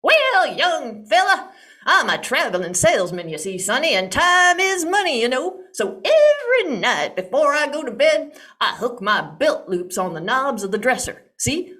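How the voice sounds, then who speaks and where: A woman's voice: high-pitched, sing-song, moderate pace, a bit bright. A woman voicing an older male traveling salesman who explains himself in a drawling, folksy, emphatic way. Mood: boastful. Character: old boastful traveling salesman, folksy and fussy.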